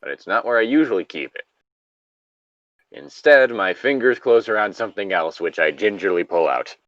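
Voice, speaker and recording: A man's voice: on the low side, expressive, normal speed, fairly bright tone, no echo, dull audio. A man reading a comic story casually, breaking off into jokey asides and banter. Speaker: co-reader of an internet story.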